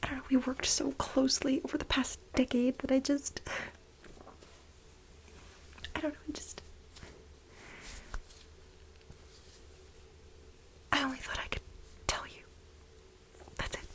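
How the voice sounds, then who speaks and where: A woman playing a fawning, gossipy office worker in a soft-spoken ASMR role play, breathy and hesitant. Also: high voice, flat delivery. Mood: pleading. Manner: hesitant, halting, nervous giggles, ingratiating. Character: fawning, gossipy female office employee sweet on her boss.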